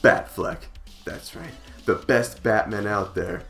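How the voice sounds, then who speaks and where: Low-voiced, excitable man hosting over music. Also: takes it slowly. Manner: excitable, emphatic. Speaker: channel host. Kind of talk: enthusiastic scripted YouTube introduction.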